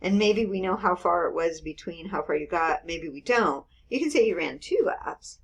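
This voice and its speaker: A woman's voice: on the low side, lively intonation, moderate pace, slightly rough. A woman in her fifties teaching math in a casual screen-recorded lecture, explaining with everyday examples and checking in with "okay" and "right". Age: 50s.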